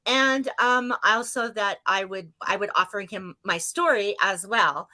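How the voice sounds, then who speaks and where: A woman's voice: medium pitch, a little slow, sharp tone. An older woman telling her story as a guest over a video call, earnest and hesitant. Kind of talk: casual video-call interview.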